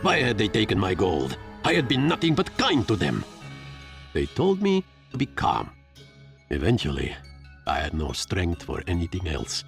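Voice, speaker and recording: Game lead: pompous, brooding little inventor creature, very low pitch, wildly swooping melodramatic delivery. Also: male voice, huge pitch swings, moderate pace, vocal fry, faint music behind, heavily acted. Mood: indignant. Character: Leopold, fluffy wealthy engineer creature, self-pitying, stubborn, theatrical. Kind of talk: dramatic first-person game narration.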